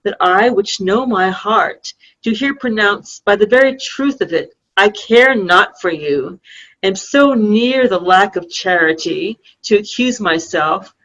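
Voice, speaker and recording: A woman reading a proud, sharp-tongued young princess in an amateur Shakespeare play, in measured formal verse, heard over a dull lo-fi video call. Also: medium pitch, animated. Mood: blunt, exasperated.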